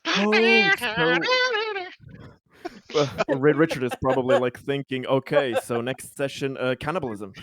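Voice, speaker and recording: A man's voice: medium pitch, measured pace, no echo. A man chatting casually and jokingly as a tabletop game player, loose with swearing. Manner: animated, casually profane.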